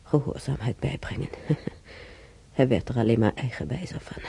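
An actress playing a regretful old mother in a radio drama, halting and brooding, with a husky, creaky voice. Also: very deep voice, lively intonation, warm tone, full character voice. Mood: remorseful. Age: older. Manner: halting, brooding, repeating herself. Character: regretful old mother remembering beating her stubborn son.

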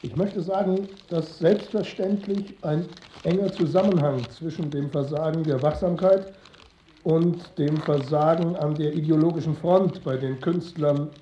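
An actor in a German radio play voicing a stern Soviet party ideologue, didactic and accusatory. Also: male voice, on the high side, unhurried, mellow, close to an everyday voice. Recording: muffled, noisy, echoing archive-like sound.

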